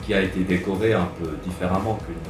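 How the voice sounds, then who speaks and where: Very deep-voiced man, slow and measured. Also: fairly even intonation. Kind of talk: interview comment. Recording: roomy sound with music.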